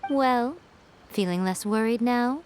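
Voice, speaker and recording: A woman's voice: a little high, slow, slight background noise. A woman playing a sly, self-assured fox shrine maiden in a video game, teasing, composed and knowing. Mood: sly, amused.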